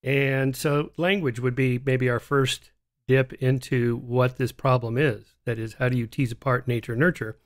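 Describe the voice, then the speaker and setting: A man in his sixties hosts an interview, talking casually and often stopping to restart a phrase. He speaks close to a studio microphone at a mid pitch. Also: fairly even intonation. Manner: casual, halting, frequent restarts. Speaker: podcast host. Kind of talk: interview conversation. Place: home studio, indoors. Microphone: large studio mic on a stand.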